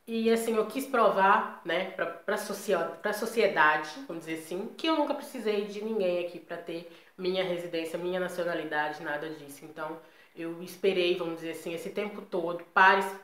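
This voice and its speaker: A Brazilian woman with a Brazilian Portuguese accent talks to her viewers in a casual personal vlog. Her voice sits on the lower side, and her delivery is chatty and emphatic, full of tag questions. The recording has a roomy echo. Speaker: vlogger.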